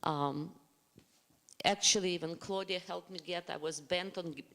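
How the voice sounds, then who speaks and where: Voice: medium pitch, fairly even intonation, bright, forceful tone. Russian-accented emigré telling a family story. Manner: earnest, grateful, halting with restarts. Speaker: panelist. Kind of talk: personal storytelling to a congregation. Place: congregation hall. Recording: long reverb of a hall.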